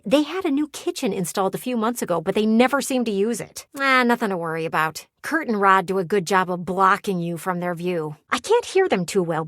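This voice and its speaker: A woman's voice: medium pitch, a bit bright, no room sound, clean studio sound, lightly acted. A woman voicing a nosy, sassy talking window in a video game, chatty and theatrical like a gossiping neighbor. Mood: conspiratorial gossip, then gossipy, knowing. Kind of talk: video game character voice acting.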